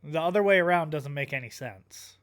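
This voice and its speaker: A man's voice: fairly high pitch, fairly quick. A man giving casual, off-the-cuff reactions in a conversational, questioning way. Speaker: reaction commentator.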